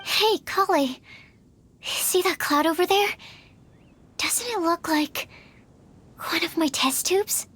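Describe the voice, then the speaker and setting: A woman's voice: very high-pitched, heavily acted. An actress playing a shy, earnest, bookish young woman alchemist in a video game, speaking hesitantly and awkwardly. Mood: gentle, hesitant. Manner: hesitant, soft-hearted, halting phrasing.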